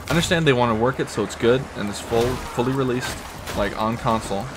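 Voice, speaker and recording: A young man with a scratchy throat rambling casually while he plays a video game. He talks with lots of fillers and casual swearing, in a deep, fairly monotone voice. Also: fast. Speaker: gamer commenting while playing. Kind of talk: casual gameplay commentary.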